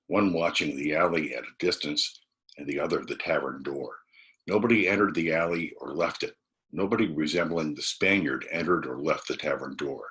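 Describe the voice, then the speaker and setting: Deep-voiced man reading a novel. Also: a bit bright. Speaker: volunteer storybook reader.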